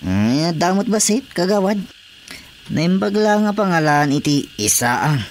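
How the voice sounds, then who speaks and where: A man's voice: high voice, big pitch swings, measured pace, full character voice. A disgruntled fisherman in a radio drama. He grumbles and argues his case, with faint background noise and chirping insects around the voice. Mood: complaining. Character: disgruntled male village fisherman with a grievance. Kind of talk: Ilocano radio drama acting.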